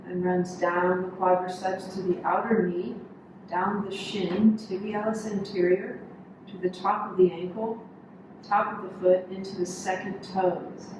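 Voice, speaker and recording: Female meditation guide, calm and unhurried, short instructional phrases, slow delivery, noisy reverberant room. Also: mid-range voice. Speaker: yoga instructor. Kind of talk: guided body scan meditation. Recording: echoey room, distant mic, noisy background.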